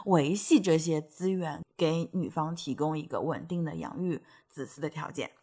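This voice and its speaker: A woman's voice: sits low, normal speed, warm tone, breathy edge. A woman co-hosting a casual podcast chat, explaining stories at length and agreeing often. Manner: explains at length, stacks many agreeing "对对对". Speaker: podcast co-host. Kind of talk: casual chat about fairy tales.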